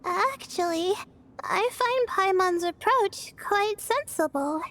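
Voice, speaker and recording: A woman voicing a gentle, self-doubting young female student in a video game, hesitant and apologetic. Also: extremely high pitch, animated, slow, exaggerated voice. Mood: thoughtful. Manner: hesitant, apologetic, trailing and stammering. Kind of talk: English video game voice acting. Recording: faint music behind the voice.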